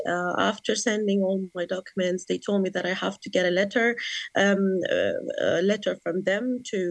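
Woman with a creaky voice. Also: mid-range voice, no room sound. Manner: hesitant, non-native English phrasing. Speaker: interview guest. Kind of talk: personal account in an interview.

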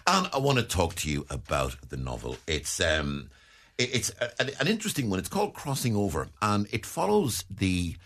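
Irish male radio host, Waterford accent, very low voice, chatty with restarts. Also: big pitch swings, moderate pace, a bit bright. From Ireland. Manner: chatty, repeats words when starting a thought. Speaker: radio presenter. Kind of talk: radio interview.

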